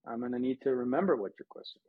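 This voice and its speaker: A man's voice: medium pitch, rapid-fire, husky, narrow, tinny audio. An American man from Colorado answering interview questions conversationally, with anecdotes, as a guest from a missions organization. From United States, Colorado.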